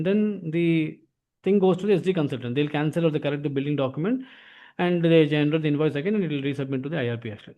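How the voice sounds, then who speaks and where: Male instructor, Indian accent, very quick pace, slightly high pitch, mellow tone, informal explaining. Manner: repeats filler tags like "actually". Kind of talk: informal explanatory software lesson.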